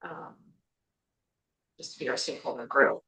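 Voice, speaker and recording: A woman's voice: rumbling low, normal speed, pushed and bright, low-quality recording. A woman commissioner asking questions in a public meeting, tentative and searching for words. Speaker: board commissioner.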